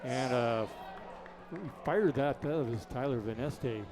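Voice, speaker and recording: A man's voice: mid-range voice, slow, a little mellow, a faint crowd. A man calling a baseball game, chatty and jokey, trading dad jokes with his booth partner. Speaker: play-by-play announcer. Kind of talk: baseball commentary.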